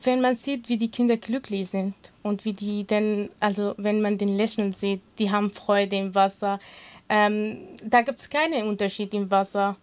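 A woman with a Kurdish accent, Kurdish her mother tongue, answers interview questions about her work. She speaks earnestly but haltingly, with many filler sounds, at an ordinary mid pitch. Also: steady tone. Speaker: interview guest, swimming instructor.

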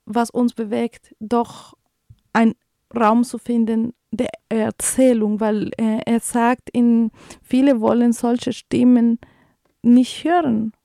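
Colombian-accented female radio host, thirties, higher voice, flat delivery, hesitant with fillers, mellow tone, dry close sound. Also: vocal fry, no echo. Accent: Colombian Spanish. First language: Spanish. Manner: hesitant, many fillers and restarts. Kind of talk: community radio commentary and news reading.

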